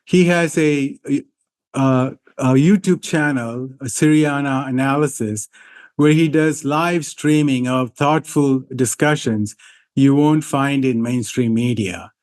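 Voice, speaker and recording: Male podcast host, slow unhurried delivery, halting with fillers. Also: mid-range voice, a little mellow. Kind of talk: interview hosting.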